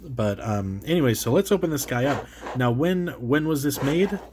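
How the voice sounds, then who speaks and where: A man's voice: mid-range voice, expressive, moderate pace, background noise. A man with a cold, sounding congested, talks casually as he opens and reviews an action figure, full of fillers and restarts. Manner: rambling, filler-heavy, self-correcting. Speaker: toy reviewer, channel host. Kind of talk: casual unboxing chat.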